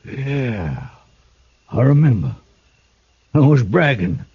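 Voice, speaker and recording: An actor playing a nervous, stammering backwoods man in a 1950s radio drama, with a raspy voice. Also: medium pitch, big pitch swings, slow pace, no room sound, full character voice. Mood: bewildered. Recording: dull vintage radio recording.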